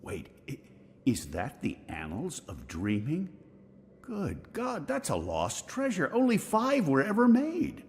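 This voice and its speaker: A man's voice: on the high side, huge pitch swings, slow, slight background noise, exaggerated voice. An actor playing a scholarly, curious, dry-witted older man in a video game, musing in a bookish way. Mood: astonished. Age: middle-aged. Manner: bookish, musing, exclaiming over finds. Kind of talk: video game dialogue acting.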